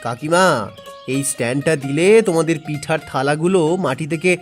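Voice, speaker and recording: A man's voice: fairly high pitch, a little fast, lightly acted. A man plays a helpful village lad in a cartoon. He sounds practical and obliging, with plucked-string music behind him. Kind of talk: cartoon story dialogue.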